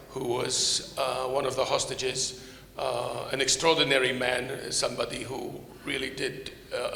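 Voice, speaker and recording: A man whose first language is Polish, moderating a panel in English, formal and courteous, halting with many fillers and restarts. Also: medium pitch, gravelly, sharp tone. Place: large conference hall with podium. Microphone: microphone. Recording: echoey hall with long reverb.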